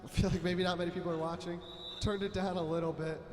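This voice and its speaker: A young man calls a school volleyball game play-by-play, loud, chatty and joking, in a somewhat high voice. He sits in an echoey gym with a long ringing reverb. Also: little pitch movement, fast talker, a little hiss or hum. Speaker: play-by-play commentator. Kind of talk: amateur sports commentary.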